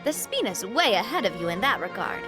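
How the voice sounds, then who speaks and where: A woman's voice: medium pitch, sing-song, fairly quick, natural voice. An actress playing a cheerful, generous young female leader in a video game, breezy and sociable with a take-charge manner. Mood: friendly. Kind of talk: scripted video game acting. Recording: music behind the voice.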